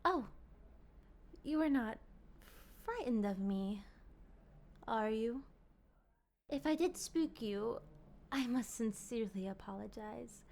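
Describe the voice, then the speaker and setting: A woman playing a possessive, lovestruck vampire in an ASMR roleplay, speaking in formal, ornate, pleading phrases that often slip into breathy whispers. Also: a little high, expressive, takes it slowly, pauses often, a little mellow, heavily acted. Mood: apologetic, smitten. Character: obsessive, courtly, possessive female vampire in love.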